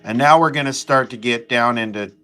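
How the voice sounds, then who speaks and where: An American man with a bright, forward voice rambles through a casual running commentary. He thinks aloud haltingly, like a hobbyist showing off his gear, with faint music behind him. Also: medium pitch, clear, resonant tone. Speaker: radio hobbyist host.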